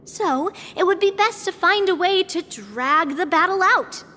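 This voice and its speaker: A woman's voice: very high-pitched, lively intonation, a little slow, sharp tone, long reverb tail, full character voice. A woman voicing a confident, cocky young swordswoman in a video game, speaking like a mentor. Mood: confident, instructive. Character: confident young swordswoman, boastful but fair-minded mentor.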